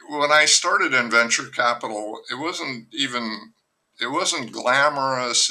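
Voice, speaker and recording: A man's voice: mid-range voice, gravelly, pushed and bright, poor audio. An older man, a novelist being interviewed on a podcast, speaking frankly in a rambling way and often restarting his sentences. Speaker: novelist guest. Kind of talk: candid podcast conversation.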